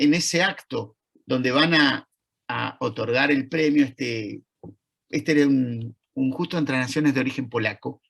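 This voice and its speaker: A man with an Argentine accent giving an online talk, conversational and thoughtful, pausing to search for words. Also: fairly high pitch, gravelly, sharp tone. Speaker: lecturer. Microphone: video call.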